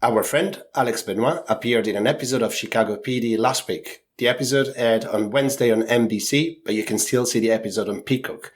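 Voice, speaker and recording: A man's voice: mid-range voice, a little fast, hardly any pauses. A man with a sore throat co-hosting a podcast, reading skating news in casual chat with some hedging fillers. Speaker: podcast co-host.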